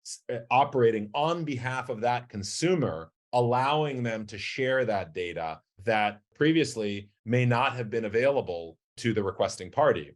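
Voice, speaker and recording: A middle-aged man giving measured, articulate explanatory answers as an executive guest in a business interview. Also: fairly low pitch. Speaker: company chief executive, podcast guest.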